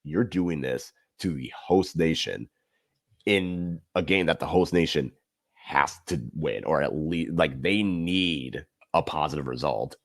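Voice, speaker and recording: A man's voice: rumbling low, unhurried, no room sound, studio quality. A man co-hosting a podcast, casually discussing football in long, loosely built explanations.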